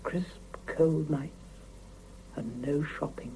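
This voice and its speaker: An actress playing a wistful, guarded young Soviet wife in a 1970s radio drama, speaking. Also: female voice, sits low, slow, mellow, rough recording. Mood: wistful, reflective. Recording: hissy 1970s mono radio cassette.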